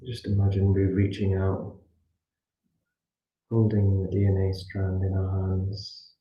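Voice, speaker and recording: Low, monotone man guiding a meditation, muffled. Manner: soothing, pausing between short phrases. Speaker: meditation and healing class teacher. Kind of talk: guided meditation. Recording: muffled echoey room, distant from mic.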